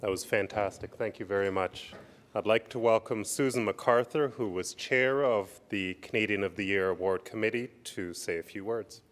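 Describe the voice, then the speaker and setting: A man's voice: fairly low pitch, normal speed, a bit bright, long reverb tail. A man hosting an event, briefly and formally introducing the next speaker. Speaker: event host.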